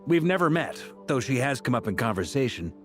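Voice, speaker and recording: A man's voice: mid-range voice, very animated, fairly quick, soft background music, lightly acted. A man playing an easygoing, jovial knight in a video game, breezy and teasing in delivery. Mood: casual, explanatory. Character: easygoing, jovial adult male knight commander. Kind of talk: English video game voice acting.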